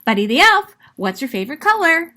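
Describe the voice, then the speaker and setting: A woman reading a storybook aloud as an excitable, earnest, childlike male Christmas elf. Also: high voice, brisk, voice pushed up, heavily acted. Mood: cheery. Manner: exuberant, earnest, exclaiming.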